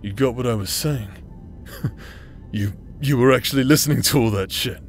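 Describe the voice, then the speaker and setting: A man's voice: medium pitch, moderate pace, lightly acted. A man acting in an intimate audio roleplay as a teasing, roguish outcast brother who plays the villain but is secretly tender, with a hesitant, chuckling drawl. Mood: amused, awkward. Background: music.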